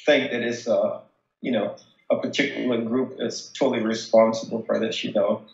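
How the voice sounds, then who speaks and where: An American man giving a casual phone interview as a local resident, halting as he searches for words. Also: mid-range voice, flat delivery, gravelly. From United States. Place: remote phone call. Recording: dull, echoey phone call.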